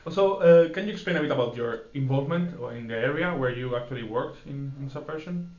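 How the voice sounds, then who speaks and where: A man of middle pitch asks friendly interview questions with a few fillers. His voice sounds muffled, in an echoey room. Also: fast talker, a little mellow.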